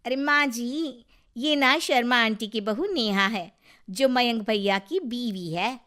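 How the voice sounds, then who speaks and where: A woman's voice: a little high, slow pace, fairly bright tone. A woman voicing a chatty, cheerful young neighbor wife in a cartoon story, with a bubbly, friendly manner.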